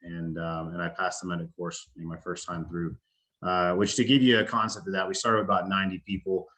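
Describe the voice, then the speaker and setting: A man, giving a casual talk as a veteran, halting with many fillers and restarts. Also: deep voice, little pitch movement, a bit bright. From United States. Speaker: veteran speaker.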